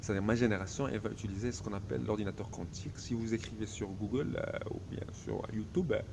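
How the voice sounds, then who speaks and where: A man's voice: on the low side, fairly even intonation, fast, creaky, background noise. A man whose first language is Arabic, delivering a grandiose solo video monologue in a self-assured tone, full of hesitations. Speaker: vlogger.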